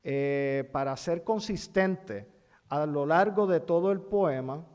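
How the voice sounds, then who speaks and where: A man with a Puerto Rican accent giving a university lecture in an amphitheater, pausing often with fillers as he spells things out. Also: fairly high pitch, darkish tone, clear, resonant tone, highs cut off. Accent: Puerto Rican Spanish. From Puerto Rico. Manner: halting, many fillers, spells things out slowly.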